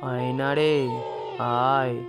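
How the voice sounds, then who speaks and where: Very slow, rasping ghost guide. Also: male voice, mid-range voice, level tone, background noise. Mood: eerie coaxing. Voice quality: rasping, phlegmy, congested. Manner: slow, drawn-out delivery. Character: lantern-bearing ghost guide, eerie, protective, commanding. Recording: music behind.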